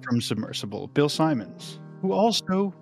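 A man's voice: on the high side, very animated, normal speed, gravelly, darkish tone, soft background music. A man hosting a casual behind-the-scenes podcast chat about his creative work. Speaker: podcast host and audio drama creator.

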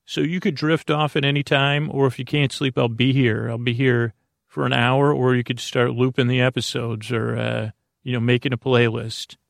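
An American man hosting a bedtime podcast, rambling along in a halting, meandering way and often trailing off mid-thought. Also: mid-range voice, flat delivery, vocal fry, no room sound, studio quality. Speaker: host of a bedtime story podcast.

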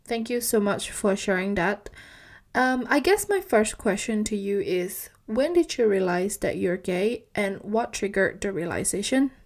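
A woman's voice: medium pitch, animated, unhurried. A woman hosting a podcast interview, giving prepared introductions and questions. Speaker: podcast host.

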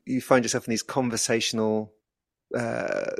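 A man's voice: medium pitch, sing-song, moderate pace, vocal fry, no echo, studio quality. A man co-hosting a conversation podcast, speaking in a thoughtful, musing way. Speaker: podcast co-host. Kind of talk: casual conversation.

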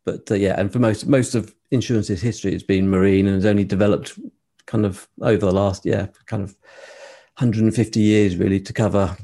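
A man's voice: on the low side, fairly even intonation, brisk, mellow. A man interviewing a guest, asking questions with stammered restarts and polite agreement.

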